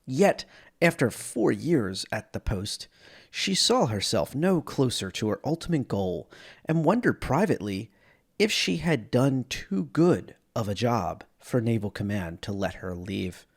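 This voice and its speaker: A man giving a dramatic, measured narration of science fiction lore as a storyteller. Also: medium pitch, very animated, creaky, husky.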